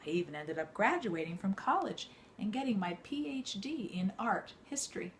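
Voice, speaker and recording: A woman reading an autobiography aloud like a teacher to students, in a measured, expressive storytelling way. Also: medium pitch, slight background noise.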